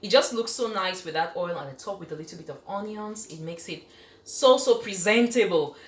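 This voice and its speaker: A woman's voice: mid-range voice, lively intonation, brisk, roomy sound, a little hiss or hum. A woman from Cameroon hosting a live cooking stream in her home kitchen, chatty and enthusiastic, laughing often as she reads out viewer comments. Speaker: cooking show host.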